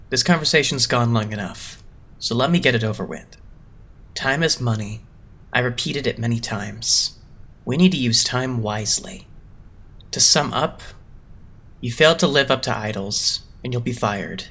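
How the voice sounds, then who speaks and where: A man's voice: medium pitch, moderate pace, fairly bright tone. A man voicing a cool, haughty young idol-agency boss in an anime game fandub, lecturing in formal, condescending speech. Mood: businesslike.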